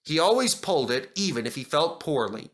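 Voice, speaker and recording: A man reading a children's story aloud in dramatic, expressive scripted narration. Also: a little high, a little fast. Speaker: narrator and reader.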